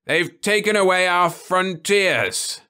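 A man's voice: sits high, slow, sharp tone, clear, resonant tone, pitched above the performer's own voice, heavily acted. A man voicing a grumbling, rebellious old man in a storybook reading. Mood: scornful. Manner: scornful, mournful.

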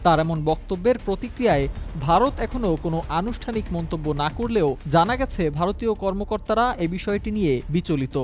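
A man with a Bangladeshi accent narrating a scripted news report in a formal, measured manner. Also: high voice, darkish tone. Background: background music.

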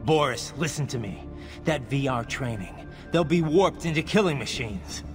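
A man's voice: medium pitch, expressive, moderate pace, soft background music, close to an everyday voice. A man voicing an indignant, righteous cyborg soldier in a video game. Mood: urgent pleading.

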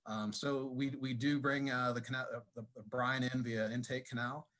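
A man giving a technical presentation at a conference, speaking haltingly with many fillers and restarts. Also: mid-range voice, steady tone, fairly bright tone. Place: conference session room.

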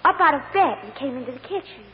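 A woman's voice: high-pitched, lively intonation, quick pace. An actress playing an innocent, earnest little American girl giving simple, hesitant testimony in a vintage radio courtroom drama. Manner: simple, hesitant childlike answers. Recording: muffled old radio recording, echoey, faint hiss.

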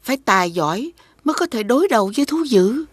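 A woman voicing a patient, encouraging village mother, gentle and instructive, in an animated fairy tale. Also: on the high side, quick pace, husky, no echo, clean studio sound.

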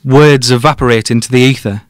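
Male actor as cocky detective genius; deadpan, teasing, mid-pitched, close dry sound. Audio drama. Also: fairly quick, dry recording, lightly acted. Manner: deadpan, matter-of-fact. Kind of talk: podcast-style audio drama dialogue.

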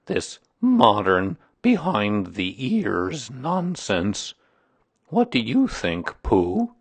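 A narrator doing a slow, gloomy grumbling donkey. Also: medium pitch, wildly swooping pitch, slightly rough, no echo, full character voice.

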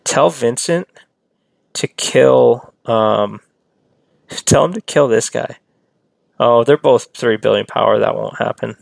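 A man's voice: mid-range voice, slow, gravelly, pauses often, dry recording. A man live-streaming a mobile strategy game, chatting casually and answering viewers in chat. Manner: chatty, reads and answers chat, rambling asides.

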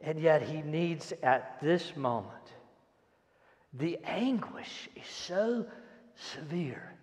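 An older man preaches a sermon and reads scripture with dramatic, emphatic delivery and deliberate pauses. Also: fairly high pitch, big pitch swings, darkish tone. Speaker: pastor. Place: large church auditorium stage, indoors. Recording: echoey hall, long reverb.

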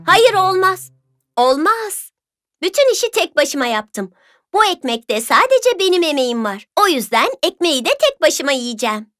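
This is a little red hen in a children's cartoon tale. The voice is very high and bright, friendly and earnest, with a firm streak that suits a hard worker. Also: female voice, very animated, normal speed, no room sound, clean studio sound, soft background music. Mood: firm, resolute.

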